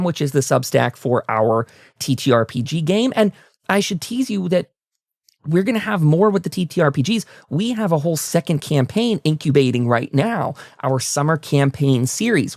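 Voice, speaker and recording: A man's voice: a little high, creaky, a little mellow, dry recording, clean studio sound. A man hosting a talk show, chatting in a casual, rambling podcast style as he talks to his audience. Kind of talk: casual podcast outro, plugging websites and upcoming episodes.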